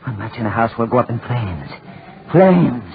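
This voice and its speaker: A man's voice: mid-range voice, big pitch swings, moderate pace, soft background music. An actor playing a deranged, desperate man in 1940s radio style, repeating his phrases feverishly and obsessively. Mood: manic muttering. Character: deranged desperate man set on burning his tormentor to death. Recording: scratchy, tinny 1940s radio recording.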